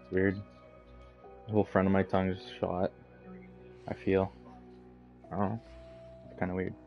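A man streaming a game, chatting casually in a relaxed, rambling way over a headset. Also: low-pitched, moderate pace, gravelly, muffled recording, soft background music. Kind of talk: casual gaming chat.

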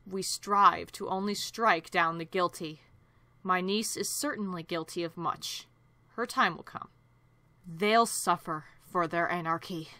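A woman voicing a stern, vengeful female echidna commander in a grave, measured, menacing manner for a cartoon demo. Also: on the low side, sharp tone, no echo.

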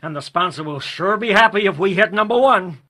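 An actor playing a cold, cynical television director in an audio drama, with a clipped, businesslike manner. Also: male voice, high-pitched, animated, a little fast, noisy background. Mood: cold, calculating. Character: cold, cynical male TV director in control room.

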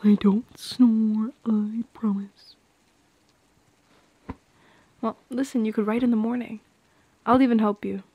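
Soft, slow-talking young woman, ASMR roleplay. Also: a little high, fairly even intonation, dry recording, highs cut off. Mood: gentle, confiding. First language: Polish. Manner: soft-spoken, hesitant, often dropping into whispers. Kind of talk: intimate ASMR roleplay acting. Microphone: close microphone.

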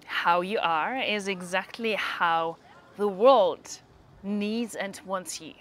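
A woman leading a guided meditation, giving unhurried instructions in short phrases with long pauses between them. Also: medium pitch, fairly bright tone, slight background noise.

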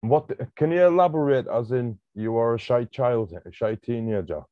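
A man's voice: medium pitch, dry recording. A podcast host in his thirties puts questions to his guest, often stopping and starting over before he gets them out. His voice comes through a little dull and muffled, and the sound is dry with no room around it. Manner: halting, restarts questions. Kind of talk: interview questions. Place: home studio room.

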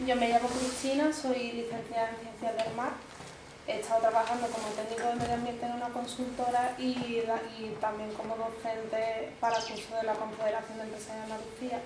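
Flat-voiced woman explaining her work. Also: a little high, a little fast. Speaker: environmental consultant. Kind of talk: self-introduction at a meetup. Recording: echoey room with background noise.

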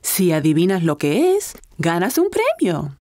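A woman's voice: lowish voice, huge pitch swings, measured pace, darkish tone, dry recording, clean studio sound. A woman playing a playful, reassuring young mother in a children's cartoon dub.

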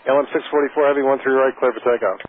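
A man's voice: mid-range voice, steady tone, racing. A man working as an air traffic controller, giving clipped procedural instructions over a radio. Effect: radio transmission. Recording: narrowband radio audio with faint static.

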